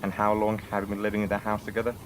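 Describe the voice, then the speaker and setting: A man cross-examining as a defense lawyer, his voice on the low side and formal, with frequent uhs. Clear background noise runs behind the game voice chat. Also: completely flat delivery, rapid-fire. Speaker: defense lawyer in a role-play court.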